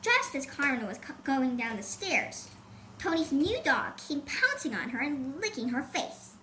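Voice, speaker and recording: A woman's voice: high voice, big pitch swings, unhurried, sharp tone, low-quality recording. A woman reading a scripted children's adventure story as an audiobook narrator. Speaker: audiobook author and reader. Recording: faint background noise.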